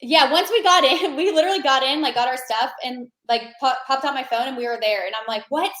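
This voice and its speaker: A woman's voice: sits high, fast talker, fairly bright tone, reverberant. A woman guest chatting casually on a podcast, rambling with fillers and restarts.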